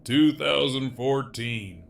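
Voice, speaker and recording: A man's voice: mid-range voice, takes it slowly, creaky, a little hiss or hum. A man playing a sardonic, foul-mouthed jailed villain in an audio drama, with a wry, sarcastic drawl. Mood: wry, resigned.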